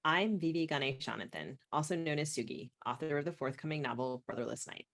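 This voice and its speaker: A woman with a low-pitched voice hosts a literary interview podcast. She talks conversationally, moving between chat and framing questions. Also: expressive, brisk, fairly bright tone. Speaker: podcast host.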